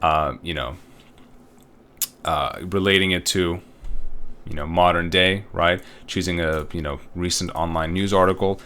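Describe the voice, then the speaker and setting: Male college instructor, thirties, from Uzbekistan; low voice, loose and chatty delivery with plenty of fillers. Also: steady tone, measured pace, long pauses, sharp tone, clean tone. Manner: casual, rambling, with many fillers and tag questions. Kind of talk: recorded online course walkthrough.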